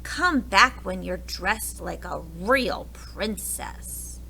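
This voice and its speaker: Woman playing a snobbish prince, slow and bright. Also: medium pitch, expressive, gravelly, a little hiss or hum, heavily acted, rough recording. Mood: scornful. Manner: scornful, fussy. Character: snobbish, unkind young storybook prince.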